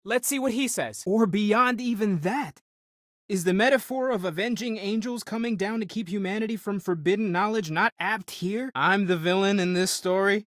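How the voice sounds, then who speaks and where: A man's voice: high voice, moderate pace, fairly bright tone, no echo, studio quality. A man playing an earnest, curious theological scholar turned space explorer in a video game, thoughtful and articulate. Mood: exasperated, insistent. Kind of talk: video game character dialogue.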